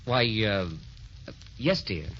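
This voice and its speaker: An actor playing a glib, evasive young gentleman, breezy but hesitant, in old-time radio drama style. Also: lowish voice, big pitch swings, slow pace, exaggerated voice. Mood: evasive, awkward. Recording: dull, noisy old radio recording.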